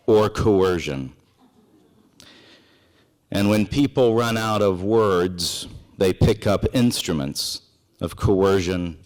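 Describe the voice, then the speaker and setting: A man from South Carolina giving a formal prepared speech as event host, earnest, emphatic and deliberate. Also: fairly low pitch. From South Carolina, United States. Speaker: event host introducing a speaker. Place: indoor event hall with audience. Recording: echoey hall with long reverb.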